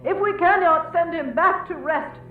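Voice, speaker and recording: A woman's voice: very high voice, moderate pace, a little hiss or hum. A woman playing a fearful, pleading villager in a radio horror play, with a dramatic, questioning delivery. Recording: tinny band-limited audio, distant, echoey.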